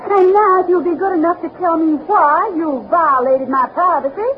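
Very high-voiced Southern lady, fluttery. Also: moderate pace. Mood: indignant. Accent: American Southern. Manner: affronted, fluttery, drawling. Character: indignant, haughty Southern lady train passenger. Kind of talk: old-time radio drama acting. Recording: dull, faintly noisy old radio broadcast.

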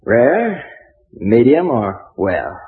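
An actor playing a husky, raspy lunchroom counterman in old-time radio drama style. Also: medium pitch, big pitch swings, very slow, heavily acted. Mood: matter-of-fact. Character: slow, husky lunchroom counterman taking an order. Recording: thin phone-like old radio recording.

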